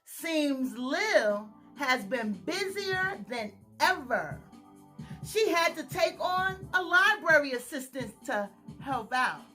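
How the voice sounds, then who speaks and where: A woman with a high, bright voice reads a children's story slowly and expressively. She often pauses to ask her young listeners questions. Faint music plays behind her. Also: big pitch swings. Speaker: storytime reader. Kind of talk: children's story read-aloud.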